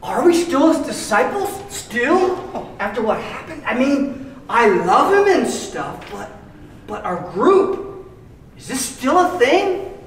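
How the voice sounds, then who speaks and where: A woman's voice: high voice, big pitch swings, slow, exaggerated voice. A woman playing a doubting, jumpy disciple in an amateur radio play. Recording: distant, very echoey room, faint noise.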